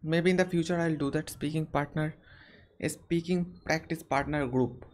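A young man answers viewer questions on a live stream from a small room. He speaks earnestly and haltingly, often restarting his sentences, and is recorded on a webcam. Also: a little high, fairly even intonation. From India. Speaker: English-learning channel host.

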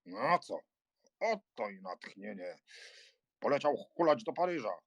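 An actor playing a blustering, cynical, hot-tempered major in an audiobook drama, gruff and scornful in manner. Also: male voice, mid-range voice, sing-song, a little slow, no room sound. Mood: angry, exasperated.

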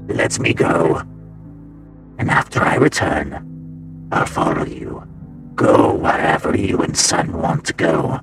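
A man voicing a tormented, brooding animatronic in a comic dub, menacing yet devoted, with a breathy growl and an intense, dramatic delivery. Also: slow, long pauses, over music, exaggerated voice. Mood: anguished, pleading. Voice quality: very deep, gravelly, breathy growl.

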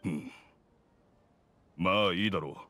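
A man voicing a gruff, proud blacksmith in a video game, speaking in a blunt, measured, rough-spoken way. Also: low voice, sharp tone, a little hiss or hum. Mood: matter-of-fact. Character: gruff, proud, no-nonsense male blacksmith craftsman. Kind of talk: Japanese video game voice acting. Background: background music.

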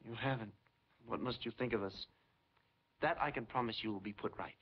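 Smooth, falsely courteous Soviet major. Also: male voice, medium pitch, fairly quick. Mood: smoothly reassuring. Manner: polite, unctuous, mock-sympathetic. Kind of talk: 1950s film acting. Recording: dull, noisy 1950s film soundtrack.

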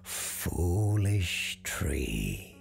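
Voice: deep voice, big pitch swings, very slow, vocal fry, faint music behind, exaggerated voice. A performer voicing a stern, commanding old woman of magical power in an audio storybook, curt and scolding. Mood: stern, threatening.

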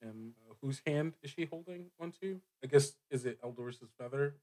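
A man speaking as game master, improvising narration and rulings, halting as he thinks aloud. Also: mid-range voice, dark tone, rough recording. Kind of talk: improvised tabletop game narration and casual table talk.

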